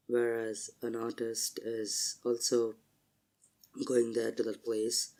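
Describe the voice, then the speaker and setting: A man with a sore throat and a husky voice, chatting casually as a podcast co-host and slowly searching for his words. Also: fairly low pitch, darkish tone. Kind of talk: casual chat.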